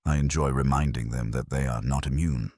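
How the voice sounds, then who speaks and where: Man acting stern male genius detective, very deep, husky, formal, terse, audiobook mystery. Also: fairly even intonation, darkish tone, no room sound, clean studio sound. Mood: cool, firm. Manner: formal, measured, pronouncing.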